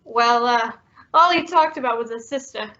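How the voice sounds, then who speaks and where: A woman's voice: a little high, normal speed, bright, forceful tone. A woman playing a simple, loyal male sidekick with a hesitant, slangy, deferential manner in an old-time radio drama. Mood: bashful. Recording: dull, muffled lo-fi audio.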